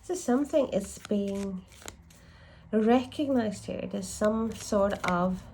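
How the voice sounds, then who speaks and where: Slow-talking congested woman reading tarot. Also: mid-range voice, warm tone, slight background noise, poor audio. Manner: rambling, self-correcting, musing aloud. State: congested from a lingering cold. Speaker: tarot reader.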